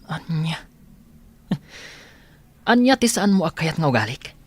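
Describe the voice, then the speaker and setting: A man's voice: a little high, big pitch swings, unhurried, husky, heavily acted. A man voicing a boastful, spoiled rich young man who laughs a lot, in an Ilocano radio drama. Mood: cocky. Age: young adult. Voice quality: cocky, laughs often. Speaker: college-age son.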